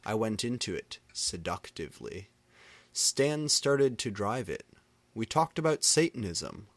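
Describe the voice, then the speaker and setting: Male reader, lowish pitch, unhurried, theatrical comic delivery of fan fiction, dry close sound. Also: very animated, no room sound. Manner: reads misspellings and punctuation literally. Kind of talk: dramatic comedic reading aloud of fan fiction.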